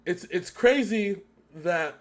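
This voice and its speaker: American guy chatting casually, kinda higher voice, rambles a lot, slangy reaction host. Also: lively intonation, slow pace. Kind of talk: casual chat.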